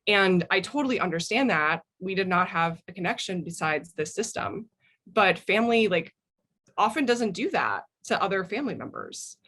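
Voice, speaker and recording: A woman's voice: fairly low pitch, lively intonation, normal speed, a bit bright. An American woman speaking as an interview guest, telling personal stories in a thoughtful way. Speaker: interview guest, memoir author.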